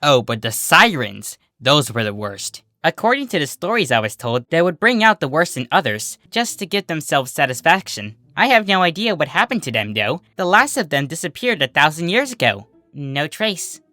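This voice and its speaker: Friendly sea creature girl put on by a reader, breezy and innocent, bright, lilting delivery. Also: medium pitch, big pitch swings, normal speed, few pauses, no room sound. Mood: storytelling. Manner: breezy, cheerful. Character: giggly, carefree female sea pony, friendly and innocent.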